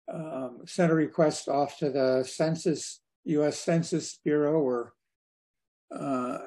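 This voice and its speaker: An older man presents slides informally on a video call. His voice sits a little high for a man and has a very mellow, relaxed tone. He speaks conversationally, with a halting delivery. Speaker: guest speaker at a radio club.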